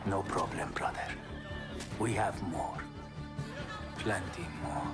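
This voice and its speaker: Slow, low-voiced confident fighter. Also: male voice, over music. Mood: assured. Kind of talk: video game cutscene acting.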